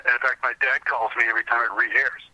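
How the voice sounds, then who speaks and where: A man's voice: mid-range voice, fairly even intonation, quick pace, pushed and bright, no room sound, highs cut off. A middle-aged man telling rambling anecdotes in a casual interview as a guest. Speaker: podcast guest, comics historian.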